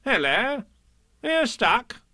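A man voicing a brisk, fussy storybook rabbit, a polite but stern know-all who talks in a clipped, proper, matter-of-fact way. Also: extremely high pitch, very animated, pushed and bright, no echo, clean studio sound, pitched above the performer's own voice, full character voice.

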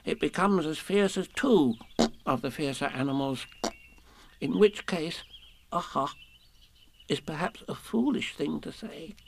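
A man voicing a very small, anxious animal from a storybook, hesitant and fidgety, with a creaky quaver. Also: fairly high pitch, animated, unhurried, long pauses, voice pushed up, full character voice. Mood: nervous. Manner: hesitant, fidgety, with nervous ums and little coughs.

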